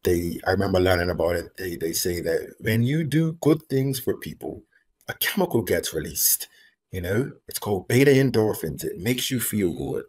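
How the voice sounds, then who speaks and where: A man in his thirties from Bermuda, a homeless advocate, talking in an earnest, measured way over a video call from home and repeating key phrases for emphasis. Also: deep voice, very animated, a little mellow, husky. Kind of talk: reflective interview talk. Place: room at home. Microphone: video call, laptop camera.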